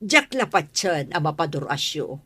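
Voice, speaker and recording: A woman's voice: sits low, very animated, moderate pace, a bit bright, breathy edge, no echo, exaggerated voice. A woman acting a stern, scolding middle-aged mother in a radio drama, with an Ilocano accent and a blunt manner. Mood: stern, reproachful. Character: stern, scolding mother who distrusts her daughter's man.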